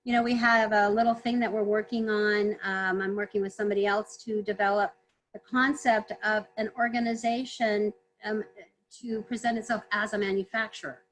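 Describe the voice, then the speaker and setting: A woman guest on a video call webinar, answering earnestly, clearing her throat often as she is losing her voice. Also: medium pitch, flat delivery, moderate pace, a bit bright, low-quality recording. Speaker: trade consultant guest.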